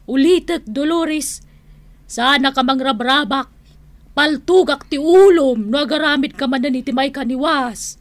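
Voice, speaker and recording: A woman's voice: high voice, measured pace, dry recording. A woman playing a tough, stern, scolding auntie in a radio drama, with an Ilocano accent. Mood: stern, threatening. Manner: stern, threatening. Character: tough, scolding elder woman who threatens with shotgun.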